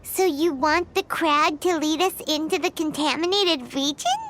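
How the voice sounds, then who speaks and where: Woman voicing a squeaky, tiny fairy companion. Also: normal speed, noisy background, lightly acted. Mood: curious. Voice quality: squeaky, childlike. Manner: refers to self in third person, exclamatory. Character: tiny floating fairy companion, childlike, eager and inquisitive. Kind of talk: video game voice acting. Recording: music behind the voice.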